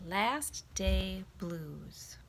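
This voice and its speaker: A woman reading a children's picture book aloud in an expressive, emphatic storytelling style. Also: mid-range voice, extremely slow, vocal fry, a little hiss or hum.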